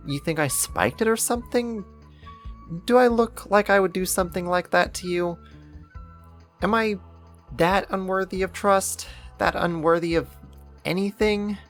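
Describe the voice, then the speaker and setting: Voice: mid-range voice, soft background music, lightly acted. A performer playing a possessive yandere neighbor who kidnaps, sweet yet menacing, in an intimate, coaxing ASMR roleplay monologue. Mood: wounded pleading. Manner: coaxing, slow, deliberate, condescending endearments.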